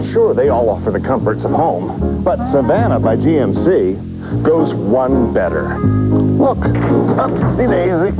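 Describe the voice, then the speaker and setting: A man with an American accent giving a conversational sales pitch as a commercial spokesman. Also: rough recording.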